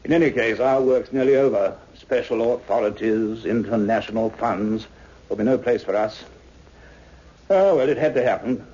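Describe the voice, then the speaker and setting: An actor playing an earnest, troubled British scientist who narrates his story in a measured, reflective way in a radio serial. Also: lowish voice, mellow, slightly rough. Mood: resigned. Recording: muffled old-time radio recording.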